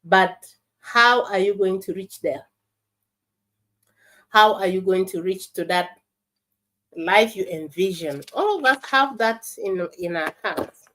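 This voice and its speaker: A woman who speaks as a gives a motivational talk in an earnest, emphatic way, halting and repeating herself at times. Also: mid-range voice, fairly bright tone.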